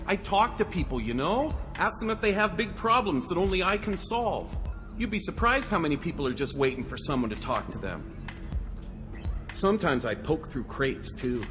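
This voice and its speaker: A male actor plays an eager, self-important fanboy who fancies himself a space hero in a video game. His pitch leaps around animatedly as bluster turns to earnest pleading. Music plays clearly behind him. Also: animated, moderate pace, lightly acted. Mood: defensive, earnest.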